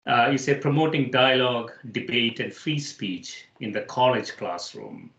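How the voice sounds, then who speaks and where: A man's voice: mid-range voice, a little slow, fairly bright tone, muffled recording. Echoey male interview host from India. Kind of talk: casual conversational interviewing.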